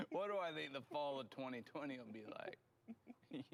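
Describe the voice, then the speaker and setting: A man with a gravelly, mid-pitched voice co-hosting a casual morning show. He teases his partner, laughs easily and stumbles through restarts as he talks. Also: very animated. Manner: teasing, laughs often, halting restarts. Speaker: co-host. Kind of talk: casual chat.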